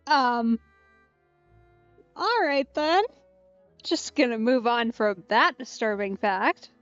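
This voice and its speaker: A woman's voice: on the high side, lively intonation, slow, a bit bright, dry recording, muffled recording, faint music behind. A woman doing casual let's play commentary, with a wry, laid-back manner. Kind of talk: casual gameplay commentary, reading player dialogue.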